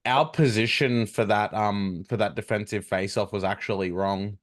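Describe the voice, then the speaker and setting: Lower-voiced man, quick to agree. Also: steady tone. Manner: quick agreeing, repeats words. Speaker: adult hockey player. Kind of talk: casual chat.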